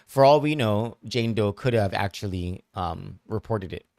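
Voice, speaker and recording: A man hosts a live news analysis show, fielding viewer questions. He speaks in a lowish voice, stopping and restarting often as he thinks things through. The sound is dry and close. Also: unhurried, creaky, darkish tone, no room sound. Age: 40s. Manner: thoughtful, hesitant, many fillers and restarts.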